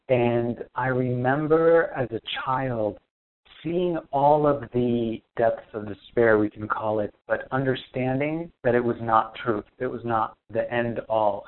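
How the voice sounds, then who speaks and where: Reflective man guest on a phone line. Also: mid-range voice. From Lebanon. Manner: soft, reflective, hesitant with many ums. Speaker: guest, spiritual teacher. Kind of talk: interview answers.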